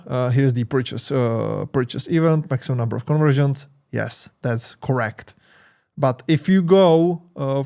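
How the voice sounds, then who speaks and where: Tired Czech-accented man, halting tutorial. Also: on the high side, darkish tone. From Czech Republic. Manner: halting, many "uh" fillers and restarts. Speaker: marketing channel host. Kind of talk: screen-share tutorial talk.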